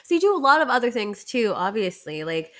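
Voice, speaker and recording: A woman's voice: fairly high pitch, sing-song, fairly quick. A woman hosting a podcast in a casual, bubbly, giggly way, her nose stuffed up from a cold. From Canada. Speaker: podcast host.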